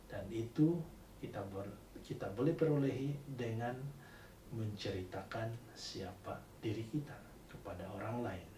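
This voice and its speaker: A man, giving a devotional talk as a church chaplaincy director, speaking in a measured, deliberate way and pausing on fillers. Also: medium pitch, a little mellow. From Sabah, Malaysia. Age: 40s. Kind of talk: devotional talk for a spiritual retreat. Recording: roomy, distant mic with background noise.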